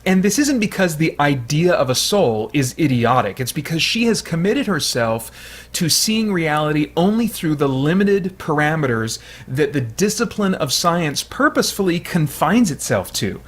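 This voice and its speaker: Man, higher-pitched, thoughtful casual commentary. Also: sing-song, moderate pace, slightly rough. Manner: hesitant, thoughtful, explanatory. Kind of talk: casual commentary, partly read from notes.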